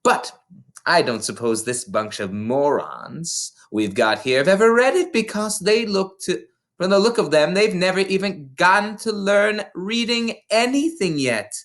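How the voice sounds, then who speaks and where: A reader voicing a bullying, contemptuous older headmistress from a storybook, scolding with heavy, drawn-out emphasis. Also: medium pitch, animated, fairly bright tone, voice pushed up. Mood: scornful, ranting. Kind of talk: storybook character voice in a read-aloud.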